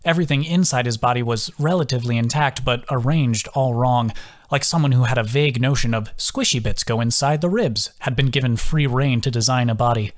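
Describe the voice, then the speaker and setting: A man's voice: medium pitch, fairly quick. A man reading scripted fantasy fiction as an audiobook narrator. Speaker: audiobook narrator, author reading own book.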